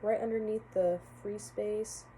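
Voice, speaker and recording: A woman's voice: medium pitch, steady tone, measured pace, warm tone, slight background noise, low-quality recording. A young woman, a college student, giving a casual spoken class reflection, hesitant with many fillers and restarts. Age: young adult.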